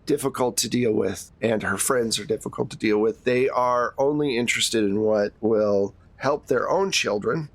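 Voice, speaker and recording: A man's voice: mid-range voice, normal speed, hardly any pauses, no room sound, lightly acted. A man playing a reserved, weary middle-aged school administrator in a tabletop game, choosing his words carefully and hesitantly. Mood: guarded, exasperated.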